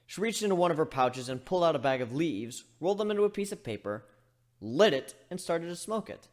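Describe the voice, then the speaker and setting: A man giving an expressive amateur dramatic reading of a story. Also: a little high, fairly quick. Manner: animated, expressive delivery. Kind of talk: dramatic reading of fan fiction.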